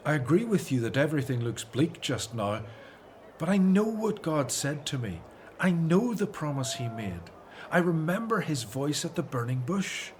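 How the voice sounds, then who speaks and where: An actor playing an earnest, resolute Hebrew leader in a religious radio drama. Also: male voice, mid-range voice, a little hiss or hum, close to an everyday voice.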